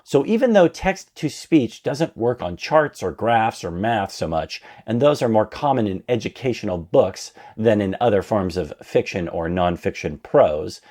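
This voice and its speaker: A man's voice: on the low side, animated, unhurried, hardly any pauses, mellow. A man reading his own prepared essay aloud as a podcast narrator, with an emphatic, enthusiastic delivery. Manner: refers to himself as "we".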